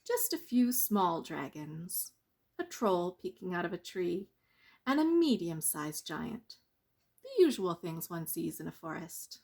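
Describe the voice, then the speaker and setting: A woman reading a children's bedtime story expressively, with deliberate dramatic pauses. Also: lowish voice, sing-song, vocal fry, a little mellow. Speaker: storyteller reading a picture book.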